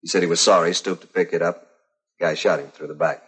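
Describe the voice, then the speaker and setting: Grim cop, low husky voice, clipped flat reporting, 1950s crime drama. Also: male voice, brisk. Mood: somber. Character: grim, plainspoken male policeman reporting a double killing.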